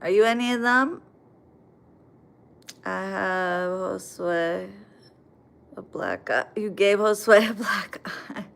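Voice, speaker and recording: A woman's voice: mid-range voice, expressive, takes it slowly, creaky, long pauses, a bit bright. An American woman chatting bluntly on a livestream, reading viewer comments and answering them with plenty of swearing. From United States. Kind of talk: casual livestream chat.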